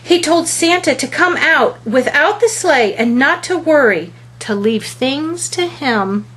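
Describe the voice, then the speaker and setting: A woman reading a children's picture book aloud as a storyteller, with deliberate, expressive phrasing. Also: high voice, poor audio.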